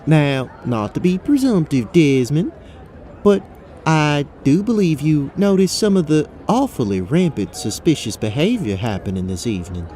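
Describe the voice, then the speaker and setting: Genteel Southern belle played by an actor, lively and mellow. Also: female voice, low voice, normal speed, faint music behind. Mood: polite, confiding. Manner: genteel, courteous. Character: dainty, polite, inquisitive Southern belle adventurer woman. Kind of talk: tabletop fantasy audio drama acting.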